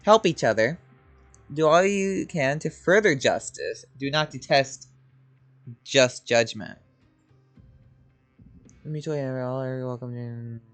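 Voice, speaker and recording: A woman's voice: a bass voice, slow, long pauses, soft background music. A woman giving casual, informal game playthrough commentary, muttering reactions as a streamer.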